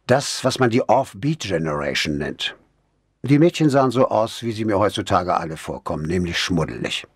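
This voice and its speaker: Male narrator for crime audiobook. Lowish, husky voice, measured expressive reading, dry close sound. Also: no echo. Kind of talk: scripted first-person crime novel narration.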